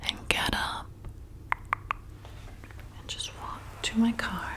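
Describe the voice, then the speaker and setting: A woman playing a flirty, hypnotic vampire bartender in an ASMR roleplay, soothing and coaxing as she repeats herself. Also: close to an everyday voice. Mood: coaxing, praising. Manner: soothing, coaxing, slow and repetitive.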